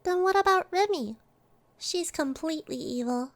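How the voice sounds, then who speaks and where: A woman's voice: very high-pitched, animated, moderate pace. A woman voicing a haughty young yokai girl in a radio drama, curt and dismissive. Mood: cool, matter-of-fact.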